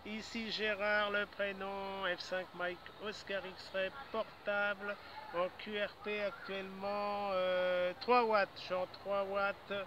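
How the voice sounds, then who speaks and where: High-voiced older man outdoors. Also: flat delivery, slow pace. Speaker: amateur radio operator. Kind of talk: casual radio contact chat. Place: outdoors in a grassy park. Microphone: camera a few metres away, holding radio handset. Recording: dull outdoor camera audio, loud background noise.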